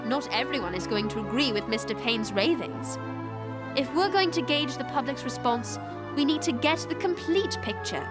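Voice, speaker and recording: High-pitched, prim teenage girl reporter. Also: expressive, normal speed. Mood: indignant, firm. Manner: proper, emphatic, precise. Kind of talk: animated series voice acting. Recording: loud music behind.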